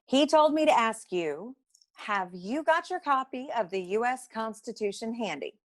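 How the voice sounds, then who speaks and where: A testy secretary from a noir comedy radio play, acted by a woman, with a fairly high voice. She is brisk, matter-of-fact and dryly superior, a know-it-all with little patience. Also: lively intonation, lightly acted.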